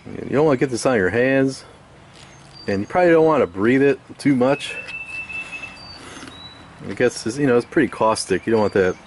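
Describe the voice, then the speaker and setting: A man giving a casual hands-on restoration demonstration, musing aloud in an unhurried, hesitant way as he works. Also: medium pitch, animated, a little mellow, slight background noise. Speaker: radio restoration hobbyist.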